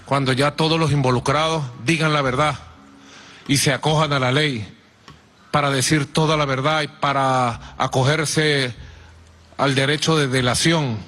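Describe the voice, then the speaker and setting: A man's voice: medium pitch, fairly bright tone. A man giving a political speech as a leader, repeating key words for emphasis. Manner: emphatic, deliberate repetition.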